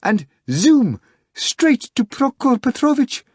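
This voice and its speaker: A woman's voice: mid-range voice, animated, measured pace, slightly rough, dry recording, clean studio sound, voice pushed up. A man voicing a hysterical young woman secretary, shrieking and wailing, a little nasal from crying. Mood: agitated.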